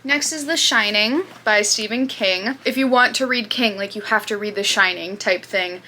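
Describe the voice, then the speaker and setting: Bright-voiced chatty young woman. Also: mid-range voice, normal speed. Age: 20s. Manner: chatty, with fillers and asides. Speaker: booktube host. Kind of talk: casual chat.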